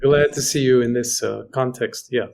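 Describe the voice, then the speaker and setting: A man from Greece talks as an interview guest in a mid-pitched voice. His delivery is conversational and reflective, halting often as he searches for the right word. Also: fairly even intonation, vocal fry, a little mellow. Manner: hesitant, halting, pausing to find words. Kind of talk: conversational recollection. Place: remote internet video call.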